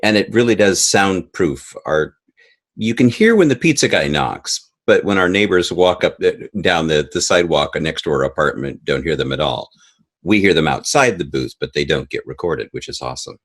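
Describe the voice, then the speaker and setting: A man giving a casual interview as an audio drama creator, telling things in an animated way and acting out quoted remarks. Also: very deep voice, moderate pace, husky.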